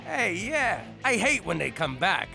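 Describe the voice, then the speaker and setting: A man's voice: sits high, big pitch swings, measured pace, creaky, sharp tone, soft background music, exaggerated voice. A man voicing a hapless, guilt-ridden mob man in a cartoon, with a mumbly, self-pitying, wisecracking way of talking. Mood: glum. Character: hapless, guilt-ridden middle-aged mob man, kicked out by his wife. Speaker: main character. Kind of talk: animated sitcom voice acting.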